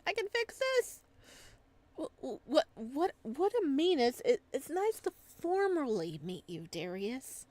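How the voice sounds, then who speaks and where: A flustered young man with a crush, acted out by a woman, in a visual novel. The voice jumps high and gushes, stammering whenever he gets embarrassed. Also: big pitch swings, unhurried, darkish tone, dry recording. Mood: panicked. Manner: stammering, gushing. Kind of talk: dramatic reading of visual novel dialogue.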